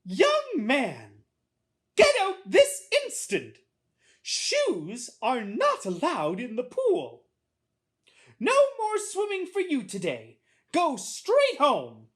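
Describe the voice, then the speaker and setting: A woman's voice: fairly high pitch, huge pitch swings, slow pace, pitched above the performer's own voice, exaggerated voice. A woman voicing a strict nursery schoolteacher in a storybook, commanding and emphatic with small children. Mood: stern, shouting.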